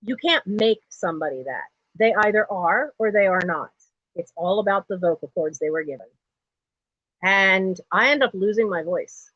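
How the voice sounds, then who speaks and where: A middle-aged woman with a low speaking voice, hosting a live online sale from home in a rambling, chatty way that is full of laughs. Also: bright, forceful tone, dry recording. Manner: chatty and digressive, laughs often. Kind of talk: rambling casual live-stream chat. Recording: dull lo-fi stream audio.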